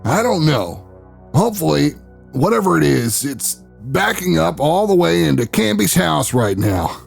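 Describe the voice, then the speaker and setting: A man acts out a brash ex-wrestler who hosts an investigative show in an audio drama. His voice is gravelly and swings widely in pitch. He is gruff, cocky and quick to anger, with music playing underneath. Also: very animated, heavily acted. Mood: confident, defiant. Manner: gruff, cocky, plain-spoken bravado. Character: brash, hot-tempered ex-wrestler turned investigative radio show host. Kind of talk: audio drama narration and dialogue.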